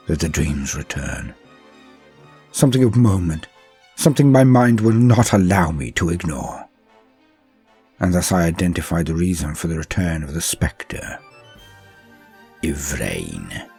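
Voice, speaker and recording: Man playing a deep, husky, brooding sorcerer warlord. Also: darkish tone, full character voice. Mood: portentous wonder. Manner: slow, solemn, theatrical, with heavy pauses. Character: ancient sorcerer warlord, brooding, tormented, grandiose. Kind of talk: dramatic first-person horror audio tale. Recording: music under the voice.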